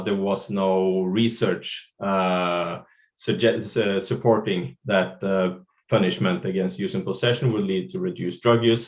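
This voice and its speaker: Man, Norwegian accent, lowish voice, halting, lots of fillers, webinar panelist. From Norway. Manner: halting, many fillers and restarts. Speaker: NGO managing director.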